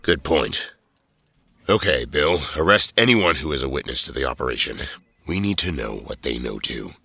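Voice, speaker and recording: A man's voice: extremely low pitch, animated, normal speed, gravelly, pushed and bright, breathy edge, no room sound, full character voice. A man playing a cold, commanding president in an audio drama, clipped and authoritative. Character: cold, commanding male president ordering arrests.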